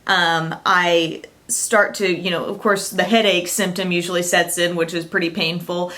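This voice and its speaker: A woman's voice: fairly low pitch, level tone, moderate pace, fairly bright tone. A young woman from Illinois, chatting casually to camera as a beauty vlogger, upbeat and full of fillers. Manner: chatty, upbeat, with frequent fillers and small laughs.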